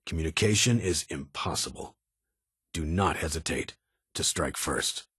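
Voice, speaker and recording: Game security chief, military, stern and guarded, rough husky voice, clipped authoritative delivery, dry close sound. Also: male voice, rumbling low, unhurried, a bit bright, dry recording, heavily acted. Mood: grave, warning. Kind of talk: video game character dialogue.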